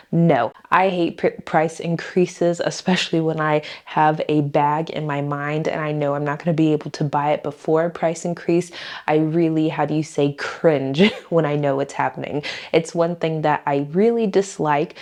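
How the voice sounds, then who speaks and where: A young woman, chatting straight to her viewers. Her voice sits low for a woman and sounds stuffed up and slightly nasal from a cold. She talks with a lot of emphasis and drops in easy fillers as she goes. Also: darkish tone. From Florida. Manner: chatty, emphatic, uses "y'all" and "um". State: congested with a cold. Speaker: YouTube host. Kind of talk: casual talking-head vlog.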